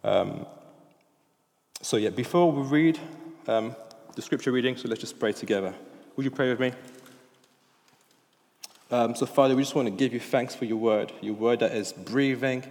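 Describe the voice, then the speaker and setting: A man's voice: medium pitch, normal speed, long pauses, a little mellow. French-born man preaching in an echoing hall. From France. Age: 30s. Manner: informal and chatty, with many restarts and fillers. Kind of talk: sermon. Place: church hall.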